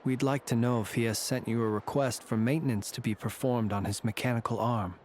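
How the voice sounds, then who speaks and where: An actor playing a courteous, composed male investigator in a video game, speaking in a measured, formal way. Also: mid-range voice, fairly even intonation, darkish tone, slight background noise, lightly acted. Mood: polite, grave.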